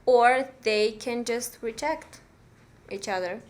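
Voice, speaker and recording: A woman's voice: fairly high pitch, takes it slowly. A young woman from Romania, a native Romanian speaker, chatting as a podcast guest in a small studio, talking thoughtfully with many fillers. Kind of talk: casual interview chat. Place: small bright studio room. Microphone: studio mic on arm.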